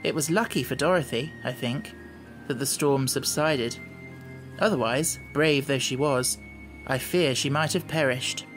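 A man's voice: medium pitch, unhurried, fairly bright tone, faint music behind, low-quality recording. A man reading scripted storybook narration as an audiobook narrator.

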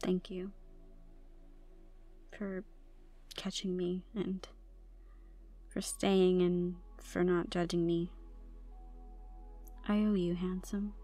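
A woman's voice: on the low side, little pitch movement, drawn-out words, pauses often, warm tone, soft background music. Woman acting a vulnerable goth college girl. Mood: grateful, teasing. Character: troubled tomboy goth college girl, vulnerable, shy, softly teasing. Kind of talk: intimate ASMR roleplay acting.

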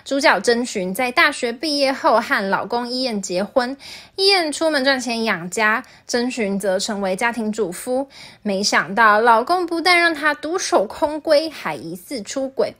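A woman's voice: on the high side, a bit bright. A woman narrating a scripted review as the host of a video.

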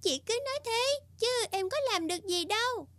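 A woman's voice: very high-pitched, expressive, moderate pace, very noisy. A woman voicing a small, kind, polite young morning glory flower in a children's cartoon fairy tale. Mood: grateful, delighted. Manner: polite, sweet, exclamatory.

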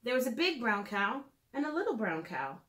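A woman in her thirties reading aloud and leading a drawing lesson for preschoolers in a clear, simple storytelling manner, recorded in a room at home. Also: a little high, sing-song, normal speed, pushed and bright. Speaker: children's educator reading and drawing. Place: small room at home, indoors.

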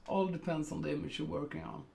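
A man's voice: a little high, fairly even intonation, fast talker, vocal fry, a little mellow. A middle-aged man from Sweden with a Swedish accent, talking through a photo editing tutorial at his computer and thinking aloud with many ums and uhs. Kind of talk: casual screen-recorded tutorial.

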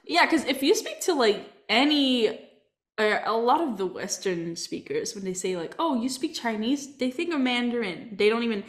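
A woman's voice: a little high, big pitch swings, normal speed, reverberant. Easygoing young woman podcast co-host. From Macau. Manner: easygoing, giggly, explains patiently. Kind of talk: casual chat reading comments. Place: indoor room, video call split screen.

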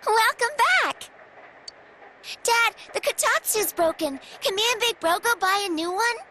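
Very high-pitched sweet little girl. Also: animated, a little slow, background noise. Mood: cheerful, curious. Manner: childlike, gentle, polite. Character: sweet, polite little girl, earnest young daughter. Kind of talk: English video game voice acting.

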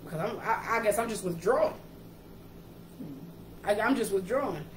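New York woman, animated, slangy, echoey room, noisy background. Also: mid-range voice. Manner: animated, slangy, quick asides. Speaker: page admin and co-host. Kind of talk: casual chat on a livestream. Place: indoor kitchen. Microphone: camera or laptop mic. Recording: echoey kitchen with background noise.

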